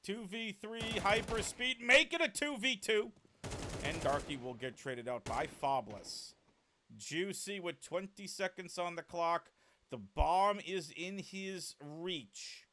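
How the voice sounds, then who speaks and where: A man casting an esports match solo, with a deep voice, calling the plays and chatting with viewers, and excitable enough to build to shouts on big plays. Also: takes it slowly, slight background noise. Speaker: esports caster. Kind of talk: solo play-by-play esports commentary.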